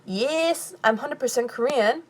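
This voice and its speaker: A young woman from California chats through a live stream Q&A, reading viewer comments aloud and answering with quick laughs. Her voice sits a little high, and she talks casually into a phone mic between bites. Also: slight background noise. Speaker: vlogger answering live chat questions.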